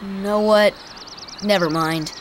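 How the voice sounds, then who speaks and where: Teenage boy adventurer, video game. Highish, slow delivery, earnest and unsure, faint noise behind. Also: steady tone. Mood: searching.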